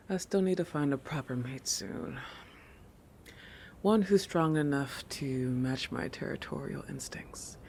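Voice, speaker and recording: A man voicing a possessive, oblivious shark protector in an intimate roleplay audio, halting and musing, often dropping into a breathy whisper. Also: mid-range voice, slight background noise. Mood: musing, tender. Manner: halting, musing, with thoughtful hums.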